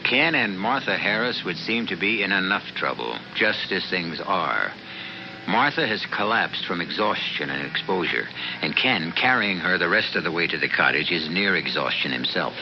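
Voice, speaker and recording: Deep ominous male host, muffled radio. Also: lively intonation. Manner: ominous, musing, deliberate phrasing. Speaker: radio mystery show host. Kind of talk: scripted dramatic narration, 1970s radio. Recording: muffled old radio broadcast with loud music.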